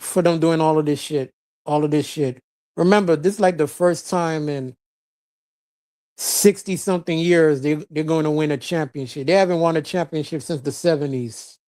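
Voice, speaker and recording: Streamer reading chat, laughs a lot, cusses, mid-pitched voice, super casual. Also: darkish tone. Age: adult with a child, past teens. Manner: laughs often, swears casually, talks back to chat. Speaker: livestreamer reading viewer chat.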